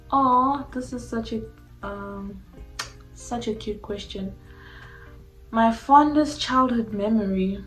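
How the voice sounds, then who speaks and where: Slow-talking young South African woman, echoey room. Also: on the high side. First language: a mixture of Xhosa and Zulu. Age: young adult. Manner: informal, uses "like" constantly. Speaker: YouTuber answering personal questions. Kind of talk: casual chatty question-and-answer vlog. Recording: echoey room with faint music.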